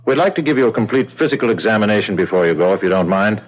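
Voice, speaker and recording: Courteous probing official, very expressive. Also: male voice, lowish voice, highs cut off. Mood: polite, persuasive. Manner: polite, formal, measured questioning. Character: courteous, probing male official of a secretive membership. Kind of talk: radio drama acting.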